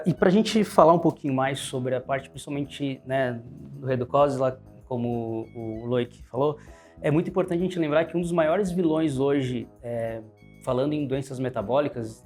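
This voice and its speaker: A man with a Brazilian Portuguese accent hosting an interview, often filling pauses with "né" and "é". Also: medium pitch, darkish tone, soft background music. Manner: frequent "né" and "é" fillers. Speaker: host, pharmacy advertising manager.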